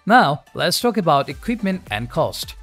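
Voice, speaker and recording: A man narrating a scripted explainer video in an even, polished delivery without fillers. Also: on the high side, a little slow, faint music behind. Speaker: channel narrator.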